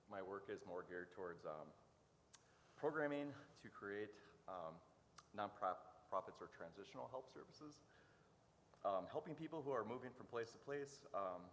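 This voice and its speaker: Guest, male, very low voice, hesitant sincere delivery, live in an echoing church room. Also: fairly bright tone. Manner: halting, earnest. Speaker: special guest, church building caretaker. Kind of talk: live interview answers. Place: large church room, live before audience. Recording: reverberant hall with faint background noise.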